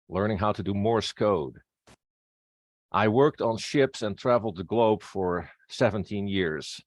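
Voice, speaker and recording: A middle-aged man with a Dutch accent speaks in a low voice. He is a native Dutch speaker presenting a personal introduction to a group. The recording is dry, with little room sound. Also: no echo. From Netherlands. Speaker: presenter, district governor-elect and pastor.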